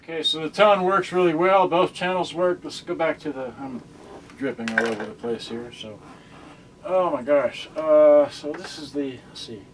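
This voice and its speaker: A man's voice: a little high, normal speed, slight background noise. An older man giving a casual, offhand, rambling product demonstration as he handles gear. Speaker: product reviewer. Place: indoor carpeted room. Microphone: camera a few feet away. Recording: distant camera microphone, loud radio music. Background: music from a cassette radio.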